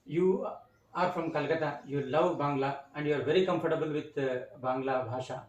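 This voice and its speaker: A man hosting an interview, introducing his guest and asking questions in measured, slightly formal phrasing. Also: a little high, steady tone, far from the mic, dull audio, slight background noise. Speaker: interview host. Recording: roomy, mic set back.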